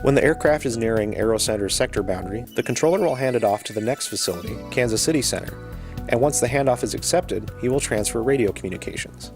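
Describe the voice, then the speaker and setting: A man reading scripted educational narration in a measured, explanatory manner. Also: medium pitch, expressive, music in the background.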